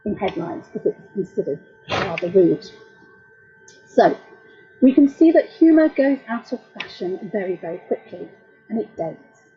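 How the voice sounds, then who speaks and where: A middle-aged woman lecturing as a translator, explaining jokes in a wry, measured way. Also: a little high, lo-fi sound. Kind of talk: academic talk.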